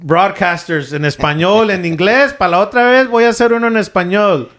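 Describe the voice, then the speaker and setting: A man in his thirties hosting a podcast, energetic and affirming, dropping Spanish phrases into his English. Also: high-pitched, fairly quick. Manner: hyped, affirming, mixes in Spanish. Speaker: podcast host. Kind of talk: casual podcast interview.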